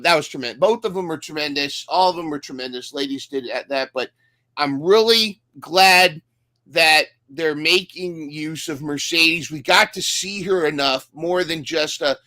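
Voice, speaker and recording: A man's voice: fairly high pitch, moderate pace, bright, forceful tone, no room sound. A man co-hosting a casual recap podcast, talking enthusiastically and often restarting his sentences. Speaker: podcast co-host.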